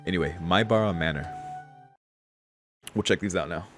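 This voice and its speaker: A man's voice: sits low, moderate pace, soft background music. A young man giving casual game commentary as a streamer, musing in a laid-back, slangy way and reading text aloud. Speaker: streamer playing a visual novel.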